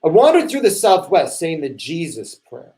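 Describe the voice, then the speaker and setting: A man playing a remorseful, rambling spiritual seeker in a live stage play reading, halting and conversational in delivery. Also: on the high side, huge pitch swings, mellow. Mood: wistful, earnest.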